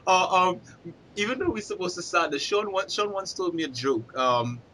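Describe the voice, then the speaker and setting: A man's voice: high voice, expressive, moderate pace, bright, forceful tone, slight background noise. A man hosting a casual podcast, rambling with many ums and restarts. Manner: rambling, lots of fillers and restarts. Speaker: podcast host. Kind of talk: casual chat.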